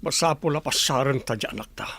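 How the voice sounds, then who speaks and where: A man's voice: mid-range voice, quick pace, a bit bright, breathy edge. A man playing a worried, stubborn father and head of a household in an Ilocano radio drama. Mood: determined.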